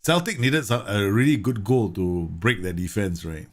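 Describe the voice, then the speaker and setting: Male streamer from Singapore, slightly low-pitched, relaxed running commentary. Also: moderate pace. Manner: chatty, laughs often, reads game messages aloud. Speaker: gaming streamer. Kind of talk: casual live game commentary.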